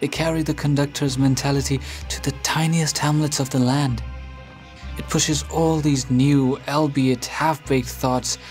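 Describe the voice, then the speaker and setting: A man playing a solitary, brooding recluse in a radio drama, speaking formally and bluntly in measured phrases. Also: mid-range voice, fairly even intonation, a little mellow, soft background music, lightly acted. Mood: brooding, disdainful. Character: solitary, brooding man who shuns modern life.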